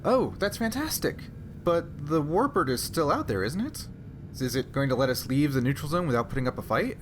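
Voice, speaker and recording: A man's voice: fairly high pitch, sing-song, fairly quick, slight background noise, voice pushed up. A man playing an anxious, earnest female starship first officer in a tabletop game, with a hesitant, plain delivery. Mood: relieved, worried.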